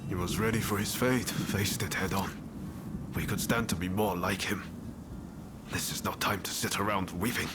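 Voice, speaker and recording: An actor playing a resolute, spirited young samurai rebel in a dramatic video game cutscene, speaking in an earnest, plain-spoken way. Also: male voice, sits low, fairly even intonation, normal speed, lightly acted. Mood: solemn, resolved. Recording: loud music bed. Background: dramatic music.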